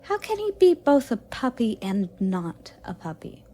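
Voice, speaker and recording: A woman playing a curious, playful young goddess in an audio roleplay, sweet and expressive with a slightly haughty streak. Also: on the high side, unhurried. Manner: inquisitive, expressive, cooing at a pet. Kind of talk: romantic audio roleplay addressed to the listener.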